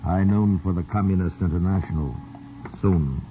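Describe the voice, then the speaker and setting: An actor playing a cold, commanding communist boss in a 1950s radio drama, measured and curt. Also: male voice, sits low, close to an everyday voice. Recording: tinny old radio broadcast, faint hiss.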